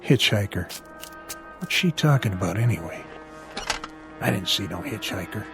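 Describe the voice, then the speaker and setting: An actor playing an easygoing, helpful small-town male mechanic in a radio drama, with a folksy, casual, unhurried way of talking. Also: lowish voice, lively intonation, loud music behind the voice. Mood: skeptical, puzzled.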